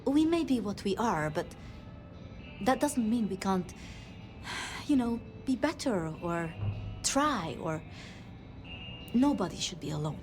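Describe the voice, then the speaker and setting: An actress voicing a gentle, anxious, idealistic female vampire in a video game, with a hesitant, earnest manner. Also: medium pitch, big pitch swings, slow pace, long pauses, over music. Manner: hesitant, earnest, flustered, polite.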